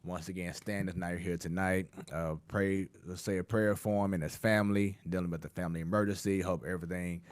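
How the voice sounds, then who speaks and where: Low-voiced man hosting sports talk. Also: steady tone, a little fast, hardly any pauses, clean tone, dry recording. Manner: loose and chatty, many fillers and restarts. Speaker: sports talk show host.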